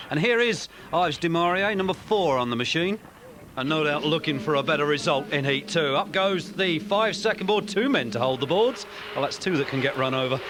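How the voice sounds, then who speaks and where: A man commentating a motocross race in an energetic way that picks up as the action builds. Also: fairly high pitch, slight background noise. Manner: energetic, rising excitement on race calls.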